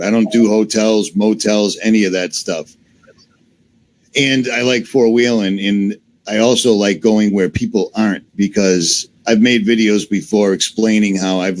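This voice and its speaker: A man's voice: lowish voice, rough recording. A man with a Boston-area accent answering interview questions on a video call in a blunt, matter-of-fact way. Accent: Boston-area (Massachusetts). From Massachusetts, US. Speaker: YouTuber, interview guest.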